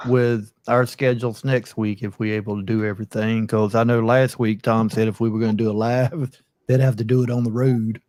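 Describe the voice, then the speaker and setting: A man's voice: fairly low pitch, flat delivery, a little fast, a little mellow, no room sound. Southern American man, forties, dry close sound. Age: 40s. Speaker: host. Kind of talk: casual live chat.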